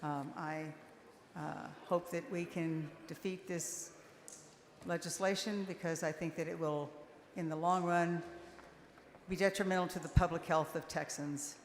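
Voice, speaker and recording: A woman's voice: on the low side, steady tone, unhurried, a little hiss or hum. A woman legislator speaks earnestly and with concern during floor debate, stumbling over a word now and then. Her voice echoes with the long reverb of a large chamber. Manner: earnest, concerned, some stammering. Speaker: state representative.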